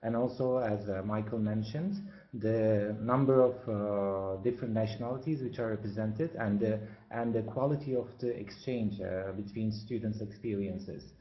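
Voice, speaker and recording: A man's voice: on the low side, fairly even intonation, normal speed. A man from Turkey, an MBA student, introducing himself on a webinar and hesitating often on fillers. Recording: echoey, dull lo-fi webinar audio.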